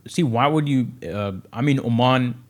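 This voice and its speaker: A man's voice: mid-range voice, moderate pace. A young man from Saudi Arabia, a real estate agent, talking casually on a podcast and filling his speech with "you know.". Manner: loose and conversational, many fillers like "you know".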